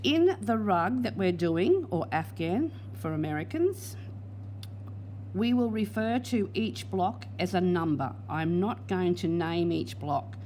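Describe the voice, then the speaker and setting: A woman guides learners through a crochet block in a patient, step-by-step way. Her voice is worn and hoarse. A faint hum sits under the recording. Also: lowish voice, lively intonation, slow, darkish tone. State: losing her voice. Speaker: crochet teacher. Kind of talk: crochet tutorial.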